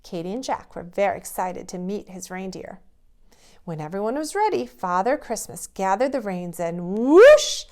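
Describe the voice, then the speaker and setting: Animated woman reading a children's storybook. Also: mid-range voice, moderate pace. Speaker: preschool teacher reading a storybook aloud. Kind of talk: storybook read-aloud for young children.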